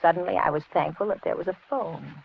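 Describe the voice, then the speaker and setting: An actress playing an anxious, polite middle-aged housewife in a 1940s radio thriller, with a hesitant, genteel way of speaking. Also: female voice, fairly low pitch, fast talker, creaky. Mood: uneasy narrating. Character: anxious polite housewife and mother, increasingly frightened. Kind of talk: 1940s radio thriller acting with first-person narration. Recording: muffled, noisy vintage radio recording.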